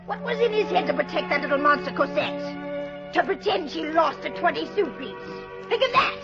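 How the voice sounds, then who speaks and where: An actress playing a shrewish, greedy innkeeper's wife in an old-time radio drama, gushing one moment and scornful the next. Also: female voice, high-pitched, lively intonation, normal speed, creaky, music in the background. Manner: gushing to guests, scornful and irritable otherwise. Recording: muffled vintage radio broadcast.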